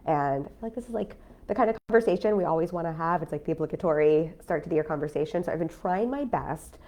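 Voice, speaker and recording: A business coach with a cold, talking casually on a live stream in a nasal, stuffy voice with lots of fillers. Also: female voice, low voice, fast talker, darkish tone. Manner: chatty, frank, many fillers. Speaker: business coach and podcast host.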